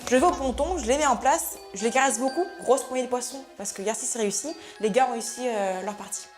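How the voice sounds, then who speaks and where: A zookeeper woman speaks frankly about feeling nervous, her voice congested from a head cold. She sits slightly high in pitch. Background music runs beneath her. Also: fast. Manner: candid, admits nerves plainly. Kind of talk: documentary interview narration.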